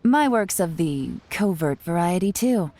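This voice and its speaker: An actress playing a confident, teasing young covert operative in a video game, with a sly, unhurried delivery. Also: female voice, lowish voice, lively intonation, warm tone. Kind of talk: scripted video game dialogue.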